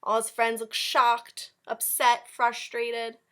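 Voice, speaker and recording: A woman's voice: a little high, monotone, takes it slowly, a bit bright. A woman teacher leading a read-aloud storytime, chatting directly to young children and commenting as she goes. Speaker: elementary school teacher.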